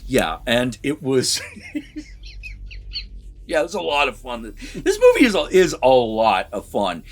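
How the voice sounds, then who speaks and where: A man in a casual, unscripted review chat, talking haltingly with repeated words and the odd swear. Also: medium pitch, wildly swooping pitch, faint music behind. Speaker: film review vlogger.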